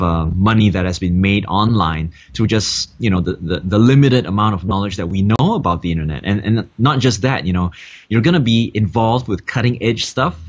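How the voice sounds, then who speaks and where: Male co-host, low-pitched and hesitant, on muffled lo-fi video call audio. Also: slightly rough. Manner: hesitant, heavy on "uh" and "um". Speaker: seminar co-host. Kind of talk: casual video-call promo chat.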